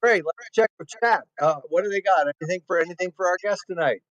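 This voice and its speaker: A man co-hosting an interview show, joking and moving things along briskly. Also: sits high, no room sound, muffled recording. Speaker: co-host.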